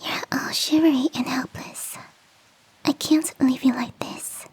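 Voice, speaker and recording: A woman voicing a big fluffy motherly yeti in an ASMR roleplay, cooing gently and teasingly in a soft, breathy whisper. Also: high voice, steady tone, full character voice. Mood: worried, tender. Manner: cooing, nurturing, slow and teasing.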